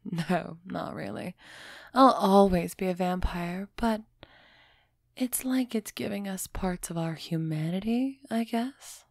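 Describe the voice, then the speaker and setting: A woman playing a refined, centuries-old female vampire in an intimate audio roleplay, gently imperious and softly teasing as she speaks straight to the listener. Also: lowish voice, gravelly, no room sound, heavily acted. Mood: earnest, explaining. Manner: slow, measured, softly teasing, addressing listener directly.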